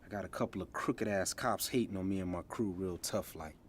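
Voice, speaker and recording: An actor playing a defiant young street crew boss in a video game, talking in street slang. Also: male voice, low voice, fairly quick, slight background noise, close to an everyday voice. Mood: guarded, indignant.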